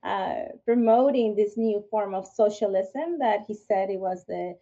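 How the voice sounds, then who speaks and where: Venezuelan woman telling her story, mellow. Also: medium pitch, unhurried. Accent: Venezuelan Spanish. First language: Spanish. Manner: earnest, with frequent restarts and fillers. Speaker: podcast guest, firearms instructor. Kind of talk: interview, personal story. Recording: dull lo-fi sound.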